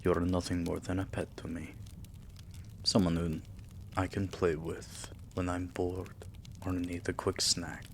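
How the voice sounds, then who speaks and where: A man playing a possessive, tender yet menacing vampire king in an intimate ASMR roleplay, murmuring softly and dipping into whispers. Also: deep voice, little pitch movement, slight background noise. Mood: condescending, tender. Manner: soft, slow, breathy murmurs dipping into whispers.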